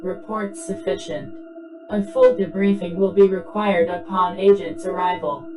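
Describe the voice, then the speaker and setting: A woman's voice: fairly low pitch, big pitch swings, unhurried, low-quality recording. A woman voicing an impersonal, official science-fiction debriefing system with formal, terse delivery. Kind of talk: scripted dramatic reading, clipped transmission prompts. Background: background music.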